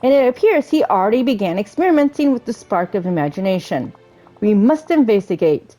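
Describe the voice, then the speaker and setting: A woman's voice: mid-range voice, very animated, a little fast, warm tone, soft background music, natural voice, low-quality recording. A woman voicing a learned, fussy old male wizard from a video game, with formal, pompous phrasing. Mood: grave, planning.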